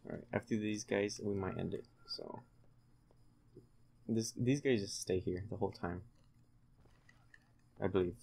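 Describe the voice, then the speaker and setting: A man's voice: fairly low pitch, steady tone, unhurried, vocal fry, long pauses, dark tone, breathy edge. A man giving casual, laid-back, joking gameplay commentary to a friend, thinking aloud as he plays. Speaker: let's play host, playing the game.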